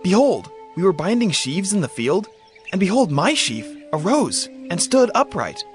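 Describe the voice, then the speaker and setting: A man's voice: high-pitched, very animated, moderate pace, soft background music. A man playing an earnest, naive teenage boy dreamer in an eager dramatized scripture reading. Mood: excited.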